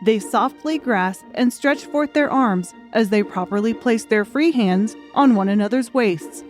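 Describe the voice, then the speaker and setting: A woman's voice: a little high, unhurried, darkish tone. A woman reading a scripted audiobook story as a dramatic narrator. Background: music.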